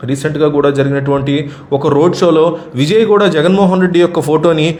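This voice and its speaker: A man's voice: fairly high pitch, fairly even intonation, fast talker, darkish tone. A man from or reads a scripted news commentary as a voice-over narrator.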